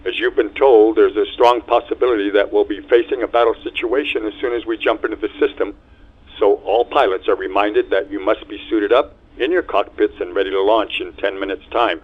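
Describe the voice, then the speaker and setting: A man's voice: on the low side, steady tone, brisk. A man voicing a stern male starship captain making an announcement to the crew over the ship's intercom. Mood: formal. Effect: ship intercom filter. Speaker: ship captain. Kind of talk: fan audio drama acting. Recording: tinny intercom filtered.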